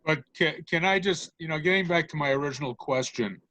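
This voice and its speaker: A man at a meetup asking halting, hesitant questions in discussion. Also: fairly high pitch, bright, forceful tone, no room sound. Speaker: meetup attendee.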